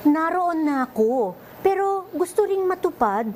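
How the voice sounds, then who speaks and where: A woman's voice: very high voice, animated, measured pace, a little mellow, a little hiss or hum, pitched above the performer's own voice. A woman playing an earnest, pleading sixteen-year-old girl who longs to dance ballet, in a radio drama.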